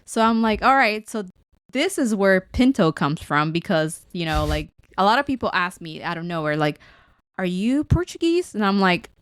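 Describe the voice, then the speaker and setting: A woman's voice: mid-range voice, big pitch swings, moderate pace, clear, resonant tone, dry recording. A woman from Guatemala co-hosting a podcast in casual, chatty, informal conversation. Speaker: podcast co-host. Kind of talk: casual unscripted podcast chat.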